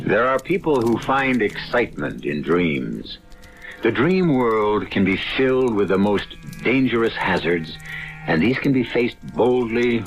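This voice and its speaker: An actor playing a wry, ominous storyteller hosting an old radio mystery, with a deliberate, dramatic delivery. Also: male voice, fairly low pitch. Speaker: host and narrator of the drama series. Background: dramatic music.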